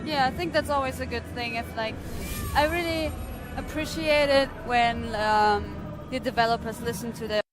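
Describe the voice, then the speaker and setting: A woman's voice: fairly high pitch, normal speed. A woman interviewer asking conversational questions and chiming in with short reactions. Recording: loud music behind the voice. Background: loud music.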